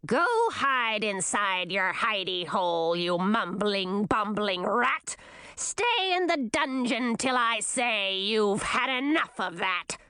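A woman voicing a cruel queen turned into a hag, with a raspy, scornful voice in a storybook reading. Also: a little high, sing-song, slow, pushed and bright, no echo, exaggerated voice. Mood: chanting.

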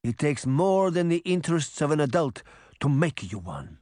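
A man playing a stern, indignant old father in a storybook, scolding forcefully. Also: fairly high pitch, big pitch swings, moderate pace, no echo, clean studio sound, pitched above the performer's own voice. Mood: scornful.

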